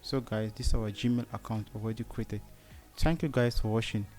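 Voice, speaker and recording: A man's voice: lowish voice, steady tone, moderate pace, darkish tone, faint music behind. A man with a Cameroonian accent hosts a step-by-step tutorial, often asking a short question and answering it himself. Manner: asks rhetorical questions and answers them. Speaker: tutorial host. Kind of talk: step-by-step screen tutorial narration.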